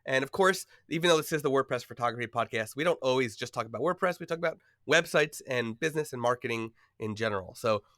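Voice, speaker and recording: A male podcast host talking casually with a stuffy, nasal voice from a cold. He rambles a little, with fillers and quick laughs, at an average pitch. The sound is close and dry. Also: animated, a bit bright, no echo. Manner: chatty, filler-heavy, laughs often.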